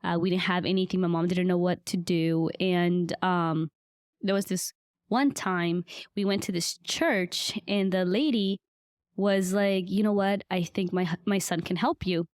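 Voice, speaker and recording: Smooth-voiced woman telling her story. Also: fairly low pitch, fairly even intonation, a little slow, dry recording, studio quality. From Guatemala. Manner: earnest and reflective, with frequent fillers. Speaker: podcast guest. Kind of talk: conversational life-story interview.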